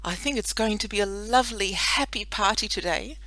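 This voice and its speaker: A storybook reader voicing a fond, anxious farm mother who speaks gently and frets. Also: mid-range voice, a little fast, no echo, pitched above the performer's own voice, low-quality recording. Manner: gentle, fretting. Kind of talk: storybook reading.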